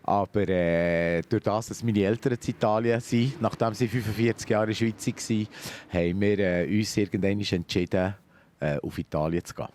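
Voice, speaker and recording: Cheerful Swiss German man, low voice. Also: normal speed, darkish tone, a little hiss or hum. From Switzerland. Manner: cheerful, chatty, laughs easily. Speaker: café owner. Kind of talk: interview answers.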